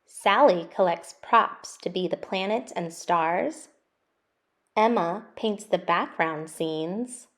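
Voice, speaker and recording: A woman narrating a picture book aloud for children in an expressive storytime style. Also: lowish voice, slow pace. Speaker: storytime host and reader.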